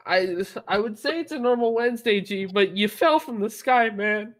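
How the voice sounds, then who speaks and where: A man's voice: sits high, lively intonation, normal speed, fairly bright tone. A man roleplaying a police officer in casual, improvised game chat. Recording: game voice chat, faint noise.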